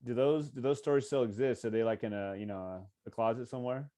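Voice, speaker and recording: An American man hosting a casual podcast interview. He asks questions in an informal, encouraging way, with a medium-pitched voice. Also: fairly even intonation, quick pace, mellow. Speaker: podcast host.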